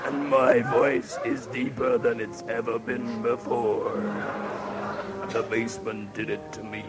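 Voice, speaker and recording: An actor playing a solemn male movie-trailer voiceover announcer, slow and dramatic, in a radio comedy spoof. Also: medium pitch, level tone, over loud music, close to an everyday voice. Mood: portentous.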